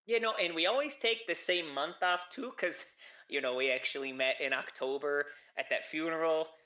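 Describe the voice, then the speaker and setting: Man doing a gushing Minnesotan grim reaper, bright voice. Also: on the high side, normal speed, reverberant. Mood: warm, gushing. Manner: chatty, gushing.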